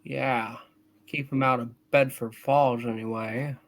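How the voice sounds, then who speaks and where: A man acting an earnest, frustrated, excitable young man in a hammed-up play reading, stammering at times. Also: medium pitch, slow. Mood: subdued. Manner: hammy, stammering.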